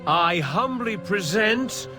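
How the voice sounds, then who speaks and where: A man playing an obsequious, self-important vampire chamberlain in a video game, with a fawning, pompous, drawn-out delivery. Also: high voice, lively intonation. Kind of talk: theatrical video game character acting. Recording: loud orchestral music behind.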